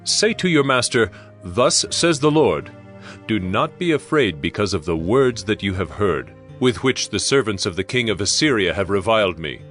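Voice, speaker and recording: A man's voice: on the low side, lively intonation, normal speed, a bit bright, faint music behind. A man playing a stern, authoritative prophet solemnly proclaiming divine judgment in a dramatized scripture reading. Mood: stern proclamation. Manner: solemn, declamatory.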